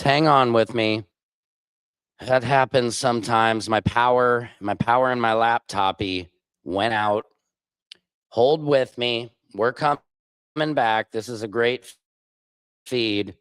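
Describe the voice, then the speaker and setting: A man's voice: medium pitch, slow, pauses often, a bit bright, smooth, dry recording, low-quality recording. Indignant man hosting a livestream. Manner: heated and indignant, talking back to clips and chat. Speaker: livestream host. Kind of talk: political livestream commentary.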